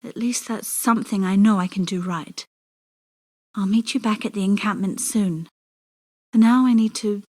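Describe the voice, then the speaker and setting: An actress playing a devoted, grieving young woman in a fantasy video game, earnest and pleading, with hushed, halting delivery. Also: medium pitch, lightly acted. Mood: remorseful, subdued. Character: grieving, obsessively devoted young woman seeking resurrection of lover.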